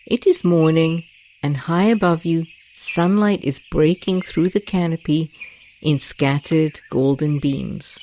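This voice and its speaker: A woman guiding a meditation with a gentle, deliberate, calming delivery and long pauses. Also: low-pitched, a little hiss or hum. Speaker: guided meditation host. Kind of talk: soothing guided meditation narration. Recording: nature ambience with birds and crickets. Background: rainforest sounds, birdsong and crickets.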